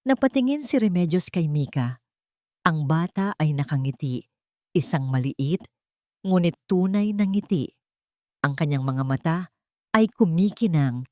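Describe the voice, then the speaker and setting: A woman narrating a scripted, sentimental drama story in an earnest, measured and solemn manner. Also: sits low, dark tone, no room sound, clean studio sound. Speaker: story narrator and channel host.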